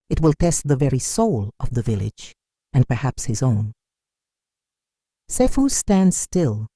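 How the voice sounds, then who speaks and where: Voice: medium pitch, dark tone, dry recording, studio quality. A storyteller giving a dramatic scripted folktale narration in a solemn, suspenseful manner.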